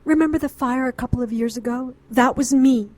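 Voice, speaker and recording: A woman's voice: sits high, brisk, a little mellow, dry recording, natural voice. A woman acting a grieving, defiant occultist doctor in an audio drama, speaking with earnest, indignant intensity. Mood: defensive, anguished.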